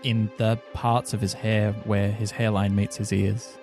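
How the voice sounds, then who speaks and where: Creaky-voiced male tabletop player. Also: on the low side, flat delivery, a little slow, over music. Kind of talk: casual in-game talk and roleplay.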